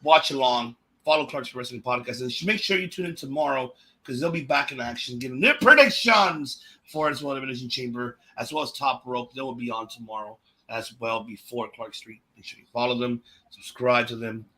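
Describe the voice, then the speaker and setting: A man hosting a podcast, hoarse from a cold, wrapping up the show with hyped shout-outs and plugs. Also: medium pitch, fairly quick, hardly any pauses, rough recording. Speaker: podcast host. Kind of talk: energetic podcast sign-off.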